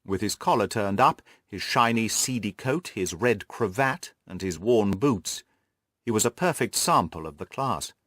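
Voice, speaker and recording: Expressive male audiobook narrator. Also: fairly low pitch, measured pace, no room sound, clean studio sound. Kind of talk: scripted literary narration.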